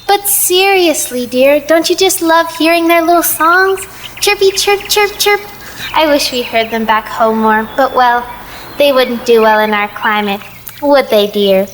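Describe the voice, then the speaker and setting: A woman's voice: sits high, a little slow, slight background noise. A woman playing a sweet, forgetful old crone in an audio play, fussy and chirpy. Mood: sweet, dotty. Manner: chirpy, fussy, gentle endearments.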